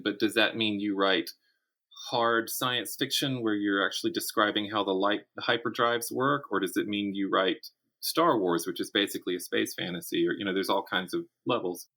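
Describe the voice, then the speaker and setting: An American man in his fifties answering interview questions conversationally, thinking aloud in a candid, animated way. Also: fairly low pitch. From South Carolina, United States. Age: 50s. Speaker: interview guest, horror writer and teacher.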